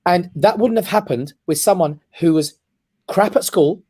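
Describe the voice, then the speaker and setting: A man's voice: sits high, normal speed, darkish tone, breathy edge. A man, an emphatic and passionate radio guest. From England. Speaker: guest, comedy club owner. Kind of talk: casual radio interview. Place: radio studio.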